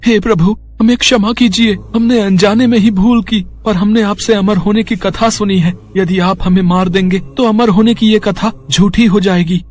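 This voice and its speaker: A man's voice: high-pitched, steady tone, moderate pace. A man voicing a small, frightened pigeon begging for mercy in a devotional story. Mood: frightened pleading. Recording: faint music bed.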